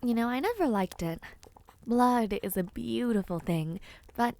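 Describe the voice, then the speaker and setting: Lady doing a sweet whimsical witch for audio roleplay, gentle and chatty, takes her time, voice goes up and down a lot. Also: a little high, expressive, unhurried. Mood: gentle, reassuring. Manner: gentle, theatrical, chatty, with airy hums and small laughs. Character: kindly, whimsical, ancient witch, flustered caring hostess. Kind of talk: immersive audio roleplay, addressed to the listener.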